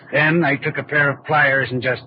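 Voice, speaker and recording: A man's voice: mid-range voice, steady tone, measured pace, lightly acted. Scheming male swindler and killer, played by an actor, slangy and cocky, vintage radio drama sound with dull top end and faint noise. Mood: smug, scheming. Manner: slangy, breezy tough-guy talk. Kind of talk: old-time radio crime drama acting. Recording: muffled, noisy old radio drama recording.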